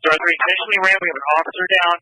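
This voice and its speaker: Male officer, piercingly bright, raised pitch, terse repeated status calls through a police scanner radio. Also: fairly even intonation, very fast, no echo, low-quality recording. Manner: terse, repetitive status updates. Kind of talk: police pursuit radio reporting.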